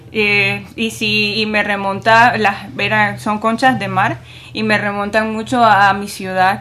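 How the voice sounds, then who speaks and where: A woman speaking earnestly on a radio roundtable, halting and restarting often. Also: mid-range voice, fairly bright tone, a little hiss or hum. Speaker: roundtable participant. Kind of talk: casual radio roundtable talk.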